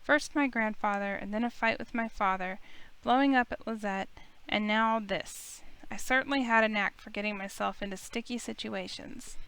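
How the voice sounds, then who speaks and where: A woman's voice: medium pitch, moderate pace, a bit bright, clear, resonant tone, dry recording, natural voice, poor audio. A woman playing a wry, self-conscious young heroine, narrating in the first person and speaking dialogue in a visual novel game.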